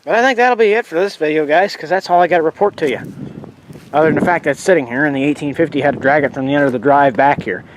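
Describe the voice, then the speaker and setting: A man's voice: a little high, fast talker. A man showing an old tractor and rambling through his restoration plans, a little sniffly, with restarts and asides as he works outdoors. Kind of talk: casual walkaround vlog. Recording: noisy outdoor handheld recording.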